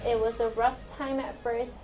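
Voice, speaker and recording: Young woman student, twenties, from Mexico by way of Chicago; candid and upbeat testimonial, slightly high voice, music bed under it. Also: level tone, moderate pace. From Mexico, raised in Chicago. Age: 20s. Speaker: university student. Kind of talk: personal testimonial.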